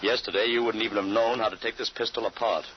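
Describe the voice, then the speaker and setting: A man's voice: lowish voice, fairly even intonation, quick pace, natural voice. A man playing a doubting, bewildered American father in an old radio drama, with a hesitant, incredulous way of speaking. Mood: stern. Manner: hesitant, incredulous, repeats words. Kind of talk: 1940s-50s radio drama acting. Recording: muffled, noisy old radio recording.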